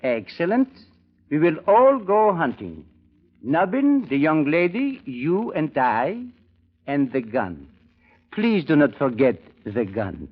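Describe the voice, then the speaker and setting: Suave French villain, husky voice. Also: male voice, a little high, big pitch swings, takes it slowly, exaggerated voice. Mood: gloating. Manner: formal, theatrical phrasing. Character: suave, greedy French villain, excitable and menacing. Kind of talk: 1940s-50s radio detective drama acting. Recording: muffled vintage radio recording.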